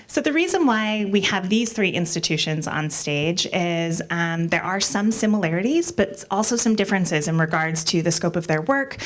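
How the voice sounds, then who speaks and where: An American woman moderates a panel in a strong teacher voice. Her pitch sits a little low. She talks in a chatty way, full of ums and uhs. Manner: loud teacher-like voice, chatty, many fillers.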